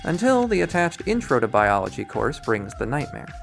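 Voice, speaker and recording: Male game readthrough narrator, mid-pitched, reading scripted prose with wry drama over background music. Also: wildly swooping pitch, normal speed, gravelly. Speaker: visual novel readthrough player and narrator.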